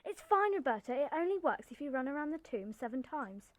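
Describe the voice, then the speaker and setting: A teenage girl playing an excitable, daring, superstitious village girl in a radio play. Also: high voice, brisk. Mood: defiant. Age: teens.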